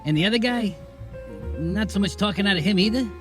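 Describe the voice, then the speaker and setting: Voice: mid-range voice, a little fast, close to an everyday voice. An actor playing a world-weary neighbourhood bartender with folksy wiseguy phrasing in a noir audio drama. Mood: curious, teasing. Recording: music bed under the voice. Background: music.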